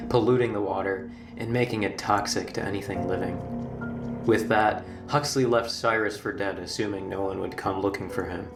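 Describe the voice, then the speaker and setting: Low-voiced male narrator, roomy and distant. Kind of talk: scripted storytelling narration, horror documentary. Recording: roomy, distant playback with music. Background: background music.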